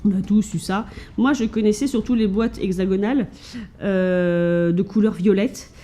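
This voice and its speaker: A woman's voice: medium pitch, fairly even intonation, normal speed, dark tone. A woman from France chatting casually to camera at home, showing her thrift finds with many fillers and restarts. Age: adult with children.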